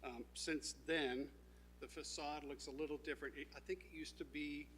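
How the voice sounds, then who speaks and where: A man presenting his company's plans at a town zoning meeting, speaking informally with many fillers and restarts, and a loud voice by his own account. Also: fairly high pitch, fairly even intonation, moderate pace, slight background noise. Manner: says he has a loud voice.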